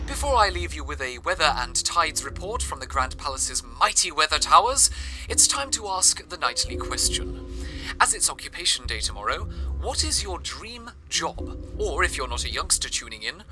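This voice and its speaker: Male actor as earnest, theatrical old-time radio host, formal and loyal, very varied intonation, music underneath. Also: fairly high pitch. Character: cheerful, loyal male radio broadcaster of a dystopian city. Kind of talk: scripted old-time radio broadcast narration. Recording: music playing behind the voice.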